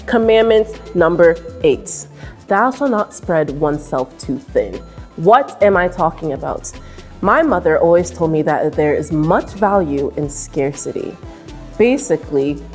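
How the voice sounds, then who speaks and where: American woman, twenties, sassy advice vlog, lower voice, soft music underneath. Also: unhurried. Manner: sassy and animated, mimics voices in high squeals. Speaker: vlogger. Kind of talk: direct-to-camera advice vlog. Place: indoor room with painting behind.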